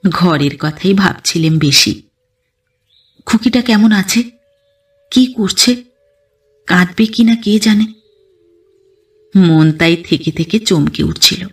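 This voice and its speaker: Female audio-story narrator, unhurried and expressive literary first-person reading, recorded slightly off the mic. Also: medium pitch, distant mic.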